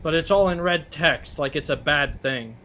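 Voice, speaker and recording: A man's voice: on the high side, moderate pace, pushed and bright, a little hiss or hum. A man chatting casually in a group reaction commentary, swearing freely as he talks. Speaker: reaction show co-host. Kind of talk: casual group commentary while watching videos.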